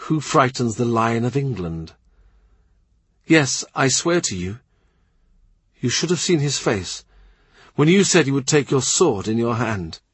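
Man reading a teasing young woman, slightly raised pitch, husky, dry. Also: big pitch swings, unhurried, pauses often, no echo. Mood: confiding.

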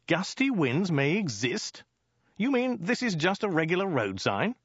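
Male reader as polite British man, awkward, hesitant, dry, bemused, lifted pitch, audiobook character. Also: big pitch swings, unhurried, breathy edge, dry recording, highs cut off, full character voice. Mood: urgent, baffled.

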